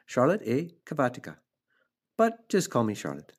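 A man voicing a small, kindly female spider in a storybook, composed, articulate and gently matter-of-fact. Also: medium pitch, wildly swooping pitch, moderate pace, slightly rough. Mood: self-assured. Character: kindly, self-assured female gray spider, small and genteel.

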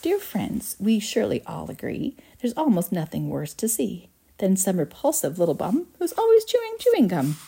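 A woman's voice: fairly low pitch, creaky, dark tone, husky, exaggerated voice. A woman voicing a chorus of small factory workers who recite a moralising rhyme in rhythmic verse.

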